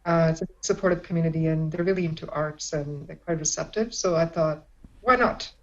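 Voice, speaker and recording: A woman's voice: sits low, fairly quick, highs cut off. A young woman from Pakistan, a stand-up comedian, chatting casually from home, laughing often and restarting her sentences. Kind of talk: casual chat. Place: at home in isolation.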